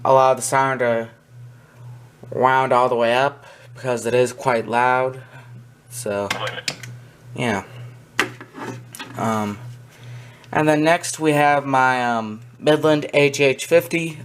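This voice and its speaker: A man giving a casual, unscripted show-and-tell in a halting way, often pausing mid-sentence. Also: mid-range voice, clean tone. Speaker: hobbyist video presenter. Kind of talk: casual unscripted product demonstration. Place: indoor room with wooden shelves. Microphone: handheld camera's built-in mic. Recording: background noise, amateur handheld camera recording.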